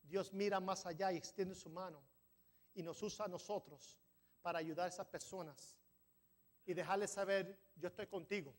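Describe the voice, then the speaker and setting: A man's voice: sits high, steady tone, normal speed. A man preaching a sermon as pastor of a church, a non-native speaker who sometimes stumbles over words and builds to emphatic peaks. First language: not Spanish. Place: church sanctuary.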